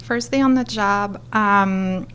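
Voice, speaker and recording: A woman's voice: medium pitch, slow, a little hiss or hum. A middle-aged woman from France with a French accent, animatedly telling her story as an interview guest. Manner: animated, expressive, acts out quotes.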